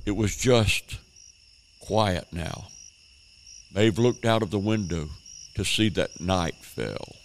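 Low-voiced man narrating a radio drama. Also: slow, long pauses, a little hiss or hum. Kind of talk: scripted narration.

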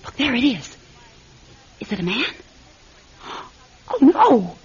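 High-pitched, jittery, secretive American passenger. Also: female voice, big pitch swings, slow pace, husky, heavily acted. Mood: frightened. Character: nervous, secretive young woman, stranded, sharp-tongued passenger. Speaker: actor in a radio drama. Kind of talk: American radio drama acting. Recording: dull, noisy old radio recording.

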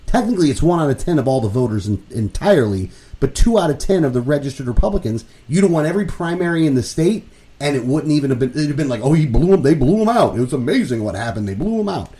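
A man co-hosting a talk radio show, laying out his political opinions in a casual, lively way. His voice is warm and mellow and swings widely in pitch as he explains things and works through figures. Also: fast. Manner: animated, opinionated, explains with numbers.

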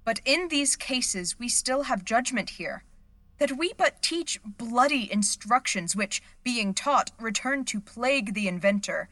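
A woman's voice: fairly high pitch, animated, measured pace, a bit bright, dry recording. A woman playing an earnest, unsure young understudy actress with a hesitant manner. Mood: earnest reciting.

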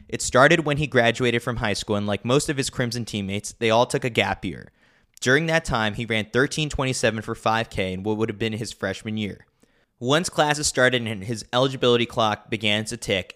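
A man's voice: medium pitch, a bit bright. A man narrating a scripted sports newsletter as a podcast host, with a wry, opinionated way of talking.

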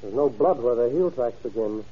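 An actor in an old-time radio crime drama playing an earnest, practical young deputy, a sheriff's son. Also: male voice, medium pitch, expressive, normal speed, slightly rough. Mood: practical, dutiful. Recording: muffled vintage radio drama recording.

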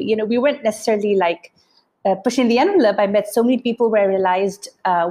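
A woman's voice: on the low side, dark tone. A woman from India, an author being interviewed, who reflects aloud with many restarts and fillers. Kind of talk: reflective conversation.

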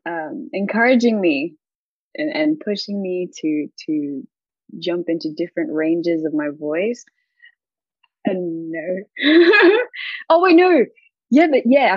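A woman's voice: fairly low pitch, animated, takes it slowly, long pauses, husky, no room sound, dull audio. A young woman with an Australian accent, a singer chatting in an interview, bubbly and rambling with lots of giggles.

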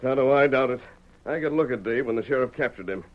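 A man's voice: medium pitch, fast, natural voice. An actor playing a resolute, fair-minded American hero in an old radio Western, earnest and formal in his diction. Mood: grave, determined. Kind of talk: 1940s Western radio drama acting. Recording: dull old radio recording.

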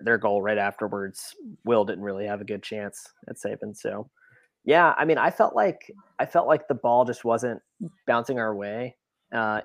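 A man's voice: fairly low pitch, fairly bright tone, dry recording. Man in dry-sounding sports chat. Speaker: podcast co-host. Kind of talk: casual sports analysis chat.

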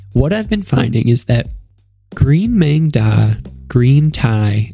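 A young man with a head cold talks in a nasal, stuffy voice at a mid pitch. He chats casually on his podcast, upbeat and rambling. Faint music sits behind him. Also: dark tone. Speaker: podcast host. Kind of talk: casual solo podcast talk.

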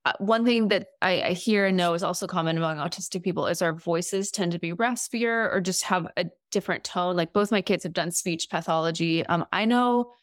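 Woman interviewer, raspy and throat-driven, lower-leaning pitch, informal podcast chat, very little room sound. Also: brisk, hardly any pauses, no room sound, clean studio sound. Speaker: podcast host.

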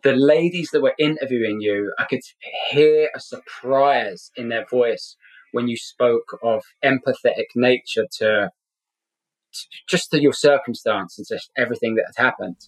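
A man's voice: medium pitch, moderate pace, low-quality recording. A middle-aged man from Scotland who grew up in London, speaking with a London accent, tells a personal story earnestly as an interview guest, repeating words for emphasis. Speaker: podcast guest.

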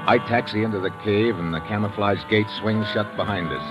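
An actor playing a cocky, wisecracking American pilot with casual, slangy, tough talk in an old radio drama. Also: male voice, on the low side, fairly quick, muffled recording, over loud music, close to an everyday voice. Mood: brisk narration. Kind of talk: old-time radio drama acting and first-person narration. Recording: vintage radio drama recording.

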